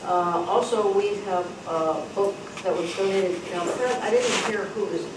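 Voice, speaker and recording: A woman giving an informal, slightly halting report at a meeting, listing items as a club librarian. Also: medium pitch. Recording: echoey room, distant mic, noisy.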